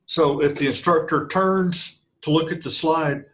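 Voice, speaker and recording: A man's voice: a little high, fairly even intonation, unhurried, a bit bright, rough recording. Matter-of-fact man on a panel. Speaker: panel guest.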